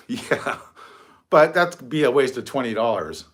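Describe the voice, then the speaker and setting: A man's voice: medium pitch, animated, slow. A middle-aged man hosting a casual livestream from his phone, rambling, mocking and swearing freely. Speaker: livestream host.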